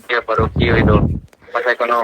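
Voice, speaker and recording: A man's voice: mid-range voice, completely flat delivery, rapid-fire. A man with a Bangladeshi accent chatting casually and insistently on a slightly dull, noisy phone call.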